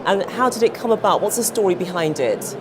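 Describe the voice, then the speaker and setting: A woman's voice: medium pitch, lively intonation, brisk. A woman hosting a broadcast interview, polished and upbeat in a conversational way. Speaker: interview host. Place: studio at an outdoor event. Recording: noisy background ambience with traffic.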